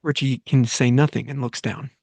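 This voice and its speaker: Male writer reading stage directions, plain and brisk, in a dry room. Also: mid-range voice, fairly even intonation, gravelly, darkish tone, no room sound. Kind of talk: reading a screenplay aloud.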